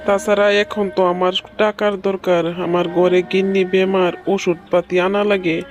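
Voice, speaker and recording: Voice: medium pitch, flat delivery, measured pace, dull audio, over music, close to an everyday voice. A performer voicing a poor, worried and greedy village milkman in a cartoon story. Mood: scheming.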